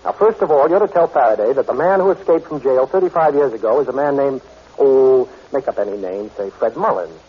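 An American actor playing a cool, good-hearted reformed thief in a 1940s radio drama, wry and assured. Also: male voice, mid-range voice, expressive, a little fast, husky. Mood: reassuring. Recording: muffled old radio recording.

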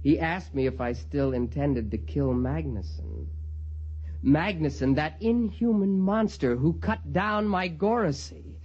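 A man's voice: on the high side, lively intonation, a little slow, a little mellow, slightly rough, dull audio. An actor playing a crazed, vengeful, obsessive man in theatrical 1950s radio drama style. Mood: brooding, menacing. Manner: theatrical, swinging from polite to maniacal.

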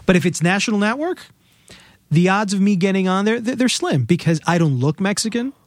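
A man, a guest film critic talking and explaining in a casual podcast chat, animated and quick to laugh. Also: high-pitched, no room sound, clean studio sound. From United States.

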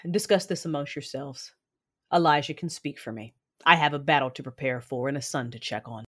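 A woman acting a proud, vengeful Sith commander and mother in an audio drama, narrating and speaking in a formal, measured, commanding way. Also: deep voice, husky. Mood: controlled, impatient. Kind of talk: first-person audio drama narration and dialogue.

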